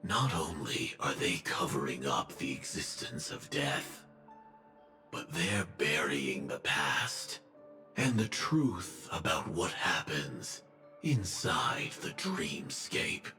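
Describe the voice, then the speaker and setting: An actor playing an ominous, fatalistic armored warrior in a video game, speaking slowly and gravely in cryptic pronouncements. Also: male voice, big pitch swings, pushed and bright, echoey room, heavily acted. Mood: cold, measured. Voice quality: gravelly, very deep. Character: ominous armored male warrior devoted to destruction, solemn and fatalistic. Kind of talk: dramatic video game voice acting. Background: game music.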